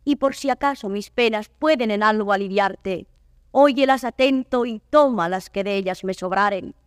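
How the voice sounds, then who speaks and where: A woman's voice: mid-range voice, normal speed, no echo, studio quality, natural voice. A woman playing a sorrowful, proud, courteous noble wanderer, solemnly declaiming classical verse in a theatre drama. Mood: sorrowful wonder. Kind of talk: classical Spanish verse stage acting.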